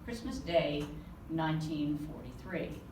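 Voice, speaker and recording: A woman author reading aloud from her novel to a live audience, giving the dialogue a lively touch. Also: low voice, a little slow. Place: conference room. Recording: roomy, distant with background noise.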